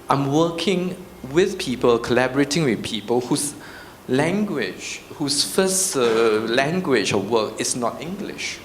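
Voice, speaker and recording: A man with a Singaporean accent speaking informally on a panel, explaining his points conversationally and checking in with short tag questions. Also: medium pitch. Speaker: panel speaker, arts practitioner. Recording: roomy echo, clear background noise.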